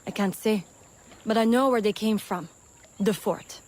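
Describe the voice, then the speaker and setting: A woman's voice: mid-range voice, measured pace, darkish tone, close to an everyday voice. A woman playing a bold, sardonic female smuggler and scout in a video game, confident and playfully wry. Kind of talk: video game character acting.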